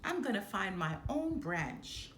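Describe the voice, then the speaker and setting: A woman's voice: mid-range voice, very animated, slow, a bit bright. A woman voicing a friendly, adventurous young female lion cub in a storybook, exclaiming in a childlike way. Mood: tired, content. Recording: roomy echo, background noise.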